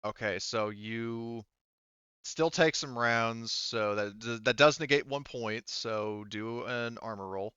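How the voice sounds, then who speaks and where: A man's voice: medium pitch, slow, bright, forceful tone, clear, resonant tone, dry recording, lo-fi sound. A man casually refereeing a tabletop game as game master, thinking aloud in an informal way.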